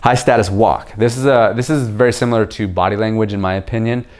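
Young man, very low deep voice, casual to-camera vlog, relaxed explaining, home kitchen. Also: quick pace. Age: young adult. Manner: relaxed, explanatory, often checks in with "okay?". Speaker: video host reviewing a book. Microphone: camera facing him at chest-up framing.

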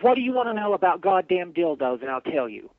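A hostile male store manager on the phone, his voice pitched high. He argues irritably and swears heavily. The sound is dry and lo-fi, like a muffled phone line. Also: sing-song, quick pace, no room sound. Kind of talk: heated phone argument. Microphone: phone call.

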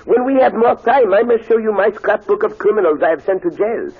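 A man's voice: high-pitched, fairly quick, slightly rough. A man playing a proud, formal Belgian detective with a French-speaking Belgian accent in a 1940s radio mystery. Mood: wry, courteous. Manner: formal, precise, polite. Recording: muffled, narrow-band old radio recording.

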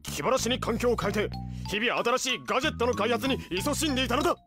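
Casting: grandiose, swooping mad scientist for anime radio drama, music underneath. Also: male voice, very high voice, expressive, fast. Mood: boastful, grandiose. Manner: grandiose, theatrical declarations. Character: pompous self-styled young male mad scientist, theatrical and bombastic.

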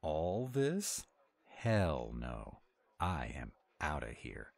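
Man acting a very deep-voiced grumpy were-dragon. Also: huge pitch swings, very slow, no echo, exaggerated voice. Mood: panicked grumbling. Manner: gruff, exasperated, drawling. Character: grumpy, anxious male were-dragon restaurant owner. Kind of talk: audio roleplay acting.

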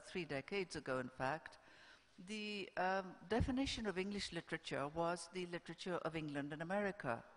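Older female panel moderator, reading from notes, halting and measured, stage mic in a reverberant auditorium. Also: lowish voice. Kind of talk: scripted introductions read to a festival audience. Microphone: stand microphone on stage. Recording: echoey hall, stage microphone.

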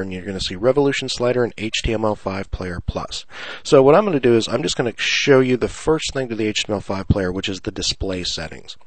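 A man's voice: medium pitch, no echo. A man presenting an unscripted screencast tutorial, explaining things casually, step by step.

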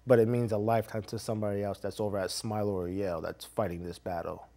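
A middle-aged man with a somewhat low voice, answering as a guest in a small TV studio. He speaks in an earnest, heartfelt way. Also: little pitch movement, quick pace, vocal fry, warm tone. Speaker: interview guest. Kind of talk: casual interview chat. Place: small TV studio with curtain backdrop.

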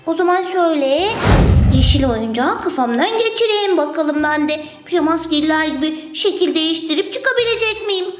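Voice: very high-pitched, lively intonation, a little fast. A performer voicing an eager, curious childlike toy character in a children's play skit, exclamatory and playful. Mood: trying, disappointed. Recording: roomy echo with music behind.

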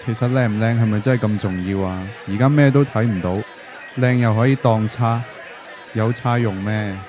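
A man's voice: on the low side, moderate pace, close to an everyday voice. A man playing a blunt, teasing young best friend in a radio drama. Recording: music and crowd chatter behind.

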